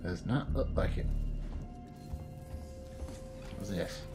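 A man's voice: deep voice, lively intonation, moderate pace, in a whisper, music in the background. A man giving casual video game commentary, muttering asides to himself as he plays. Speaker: video game player, host. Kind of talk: casual let's play commentary. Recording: noisy home recording over game sound.

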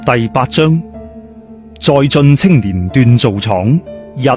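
A man's voice: mid-range voice, expressive, takes it slowly, darkish tone, faint music behind. A man with a Cantonese accent narrating a scripted horror story in a dramatic, suspenseful storyteller's manner. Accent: Hong Kong or Guangdong Cantonese.

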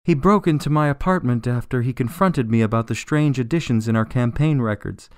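An actor playing a desperate, disgraced politician in prison in a radio drama, pleading and flustered. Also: male voice, medium pitch, fairly quick, clean tone, no echo, studio quality, close to an everyday voice. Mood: reluctant, confessing.

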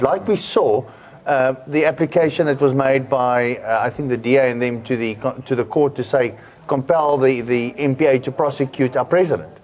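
A man's voice: medium pitch, a little mellow. A middle-aged man with a South African accent, explaining points as a legal commentator in a studio panel discussion.

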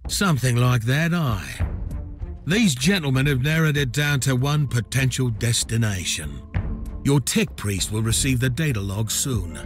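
A man acts a roguish male space captain for a video game, a wily gossip with theatrical courtesy. His pitch swings freely as he talks, with music underneath. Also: animated, a little slow, lightly acted. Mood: courteous, pleased.

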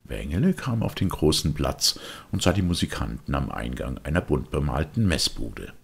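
A man reading a children's story as an expressive audiobook narrator. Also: a bass voice, moderate pace, vocal fry, slightly rough.

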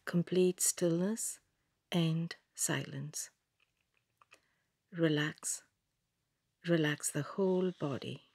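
A woman leads a scripted relaxation practice at a very slow, unhurried pace. Her voice is fairly low and soft, with plenty of breath in it. She gives each instruction calmly and gently, leaving long pauses between phrases. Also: husky, no room sound, studio quality. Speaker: yoga nidra meditation guide. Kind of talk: scripted guided meditation narration.

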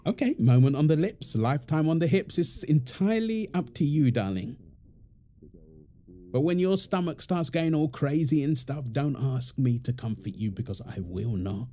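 A man's voice: a little high, expressive, moderate pace, warm tone. A man playing a playful, teasing young husband and doting father in an intimate, affectionate domestic ASMR roleplay. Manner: teasing, affectionate, chuckling banter. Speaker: roleplay audio performer.

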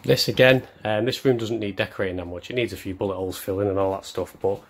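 A young man with a fairly low voice shows viewers around a house. He talks casually and chattily, with self-deprecating jokes, as he walks from room to room filming on a phone. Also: steady tone, quick pace. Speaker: vlogger. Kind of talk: casual house tour narration. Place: indoors, walking through rooms of a house. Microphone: handheld phone camera.

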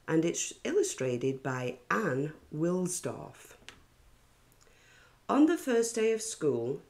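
A middle-aged woman reading a children's picture book aloud with expressive narration. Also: deep voice. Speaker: children's story reader.

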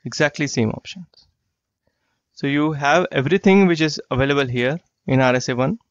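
A man walking through a software tool in a screen-share tutorial, explaining plainly and repeating himself. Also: a little high, normal speed, dry recording. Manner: plain explanatory, repeats phrases like "you get the idea". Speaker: software trainer. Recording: dry, slightly dull lo-fi voice track.

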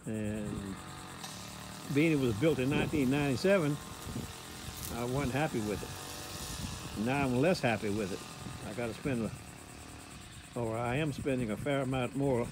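Older american guy rambling on a phone vlog, plain folksy talk, roomy echo, bit of background noise. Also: medium pitch. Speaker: vlogger. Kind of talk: casual rambling walk-through commentary. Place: inside a house under renovation, later outdoors. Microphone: handheld phone. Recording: echoey rooms, background noise, handheld.

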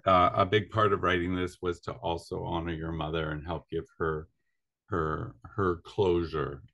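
A man's voice: sits low, steady tone, normal speed, fairly bright tone, lo-fi sound. A middle-aged man hosting a video call interview, chatty and casual with filler uhs, speaking from an indoor room. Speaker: interviewer, host. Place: indoor room with large window.

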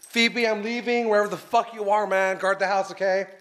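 A man's voice: high voice, steady tone, fairly quick, fairly bright tone. Rambling man vlogging from a phone. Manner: rambling, chatty, lots of ums and giggles. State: slightly hoarse from losing his voice. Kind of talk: casual self-filmed travel vlog. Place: outdoors at a bus stop, then on a bus and a train. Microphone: phone held at arm's length. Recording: faint vehicle and street noise behind.

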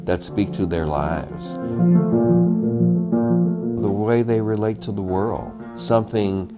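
A middle-aged man with a very low, deep voice talks slowly and thoughtfully in an interview, leaning on his words. He is recorded at home on a lapel mic, with music playing clearly beneath him. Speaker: documentary photographer. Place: indoor home room. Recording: music bed underneath.

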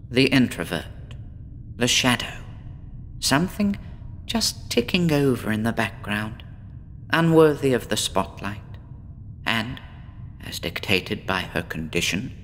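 Guy doing a gloomy young woman patient, slow and brooding, echoey horror radio play. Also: mid-range voice, vocal fry, fairly bright tone, slight background noise, exaggerated voice. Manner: slow, brooding, poetic and weary. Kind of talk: horror audio drama acting.

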